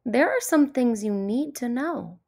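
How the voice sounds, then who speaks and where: A woman reads a picture book aloud for children as the storyteller. Her delivery is slow and deliberate, with very lively intonation and a touch of creak in the voice. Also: on the high side. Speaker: storyteller, host of a read-aloud show.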